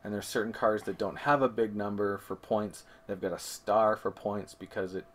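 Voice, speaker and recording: A man's voice: lowish voice, fairly even intonation, moderate pace. A man co-hosting a board game review, explaining things methodically in casual conversation and correcting himself as he goes. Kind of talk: casual comparison chat.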